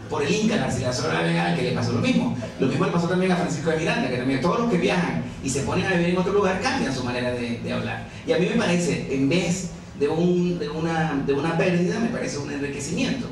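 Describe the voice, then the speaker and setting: A man in his forties with a Venezuelan accent talks about his writing to a café audience, chatty and quick to laugh at himself. He is picked up from a distance in a very echoey room. A crowd murmurs behind him. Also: a little high. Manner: chatty, animated, laughs often, self-mocking. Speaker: novelist presenting his book. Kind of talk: conversational talk to an audience.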